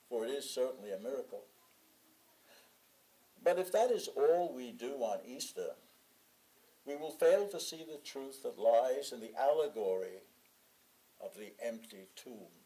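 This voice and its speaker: Guy reading out a church sermon, voice a bit on the high side, measured n deliberate w pauses. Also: darkish tone, poor audio. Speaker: sermon reader in a church congregation. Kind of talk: sermon read from a text.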